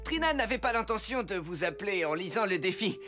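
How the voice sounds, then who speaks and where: A kindly cartoon teacher of young superheroes, played by a woman, earnest and gently instructive. The medium-pitched voice moves in lively contours over clearly audible music. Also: brisk, close to an everyday voice. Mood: earnest, rallying. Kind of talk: French cartoon dub acting. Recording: music behind the voice.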